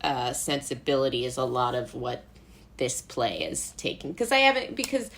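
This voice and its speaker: A woman's voice: a bass voice, big pitch swings, normal speed, a bit bright. An American woman from Brooklyn talking casually as an interview guest, informal, rambling, amused and self-deprecating. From Brooklyn, United States.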